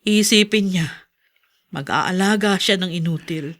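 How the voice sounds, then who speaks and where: A woman's voice: fairly low pitch, unhurried, fairly bright tone. An actress playing a bitter, resigned, ailing mother in a radio drama, world-weary and emphatic. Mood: bitter, dismissive. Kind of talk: Filipino radio drama acting.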